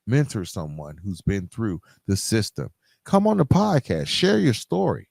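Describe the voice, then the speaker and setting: A man's voice: fairly low pitch, wildly swooping pitch, a little slow, vocal fry, a little mellow, no room sound, clean studio sound. A man hosting a podcast solo, speaking in an earnest, emphatic motivational style straight to his listeners, repeating key phrases. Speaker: podcast host.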